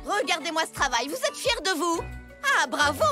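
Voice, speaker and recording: Shrill scolding cartoon woman. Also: sing-song, normal speed. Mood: cross, sarcastic. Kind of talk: cartoon dub acting. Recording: music under the voice.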